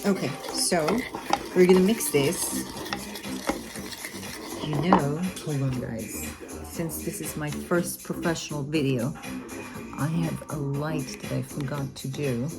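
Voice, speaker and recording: Woman, Armenian accent, low voice, rambling casual kitchen chat, loud music behind. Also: slow pace, gravelly, pauses often. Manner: chatty, rambling, self-correcting with fillers. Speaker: cooking vlogger. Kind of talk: casual cooking vlog. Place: home kitchen.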